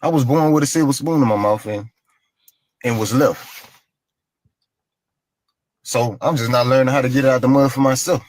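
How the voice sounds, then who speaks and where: A man's voice: medium pitch, normal speed, long pauses, darkish tone, no room sound, poor audio. A man in a played clip telling a plain, aggrieved personal story and repeating his points.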